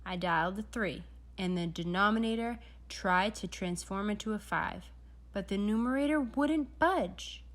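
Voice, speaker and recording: A woman's voice: medium pitch, measured pace. A woman narrating a children's story aloud, with dramatic storytelling emphasis. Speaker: storybook reader.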